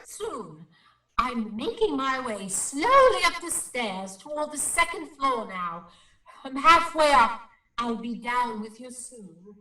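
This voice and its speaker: Radio play casting: solemn lady ghost investigator, formal and precise, bright animated delivery, slightly off-mic in a roomy space. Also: high-pitched, slow, slight background noise, exaggerated voice. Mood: brisk, composed. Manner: formal, precise, dramatic reporting. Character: solemn, self-important female psychic investigator. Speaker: actor playing a paranormal investigator. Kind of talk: radio drama acting. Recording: roomy, slightly distant microphone.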